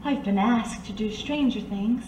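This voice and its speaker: Actress as worldly 1930s woman, high voice, coaxing, unflappable, radio noir acting, echoey room, faint noise. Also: measured pace, darkish tone, natural voice. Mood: knowing, calm. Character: blind, worldly, soothing 1930s working woman who knows underworld secrets. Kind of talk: old-time radio detective drama acting. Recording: roomy, distant amateur recording, faint noise.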